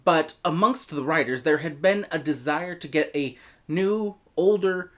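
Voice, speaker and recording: A man with an American accent narrating a scripted review as host, in an expressive way. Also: a little high, a little slow. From United States.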